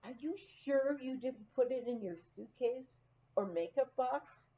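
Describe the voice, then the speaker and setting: A woman's voice: high voice, expressive, measured pace, dark tone, highs cut off. A woman voicing a blunt, no-nonsense ranch innkeeper in a memoir, with a wry, exasperated manner. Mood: brisk, questioning.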